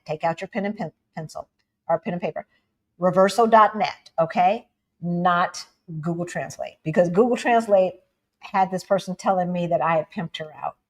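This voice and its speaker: A woman from the United States co-hosting a livestream, talking casually and with energy, laughing often and calling her viewers "y'all". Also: on the low side. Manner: animated, laughing, addresses audience as y'all. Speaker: livestream co-host.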